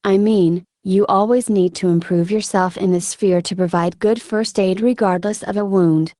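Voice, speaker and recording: A woman giving reflective interview answers in a halting, thoughtful way with frequent filler words. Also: mid-range voice, steady tone, mellow, dry recording, studio quality. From Ukraine. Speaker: military combat medic being interviewed.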